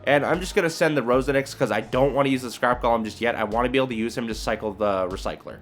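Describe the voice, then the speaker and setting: A man talks through his gameplay in a casual, informal way, working out each step aloud. His voice sits at an average pitch, with music playing behind him. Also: quick pace. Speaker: video creator commentating on own card game play. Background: background music.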